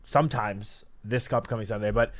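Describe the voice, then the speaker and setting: A man's voice: lowish voice, a little fast. A man co-hosting a sports radio show, laying out statistics and building a detailed argument. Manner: analytical, stat-driven, builds an argument. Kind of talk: sports analysis talk.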